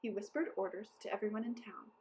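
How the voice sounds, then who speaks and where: A woman reading a children's book aloud as narrator, with deliberate, careful enunciation. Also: medium pitch, steady tone, dark tone, slight background noise, poor audio. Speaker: storybook reader. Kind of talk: scripted children's story read-aloud.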